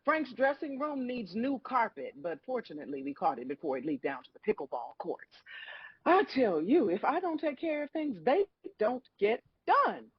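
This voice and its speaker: An older woman playing a folksy, unflappable female God in a comedy table read, husky, wry and matter-of-fact. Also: a little high, very animated, normal speed, dry recording, muffled recording. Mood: folksy, weary. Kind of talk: live table read acting.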